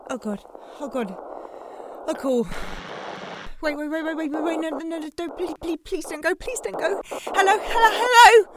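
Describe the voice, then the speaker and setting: A woman playing a lonely, desperate late-night radio DJ in an audio drama, speaking in a halting, pleading way. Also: extremely high pitch, very noisy. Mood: frantic, pleading.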